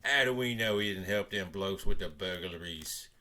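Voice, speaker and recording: A man's voice: sits low, moderate pace, bright, forceful tone. A man voicing a grumbling, suspicious uncle in a storybook, colloquial and accusing.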